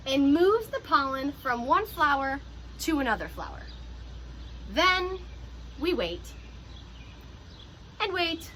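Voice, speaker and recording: A woman who teaches pre-K, reading a picture book aloud to young children in an animated, encouraging way with teacher asides. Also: high voice, slight background noise, rough recording.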